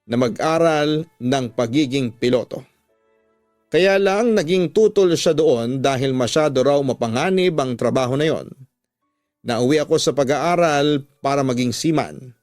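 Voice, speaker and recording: A man's voice: fairly high pitch, darkish tone, no echo, clean studio sound. A man narrating a love story in the first person, as dramatic scripted radio storytelling. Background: music.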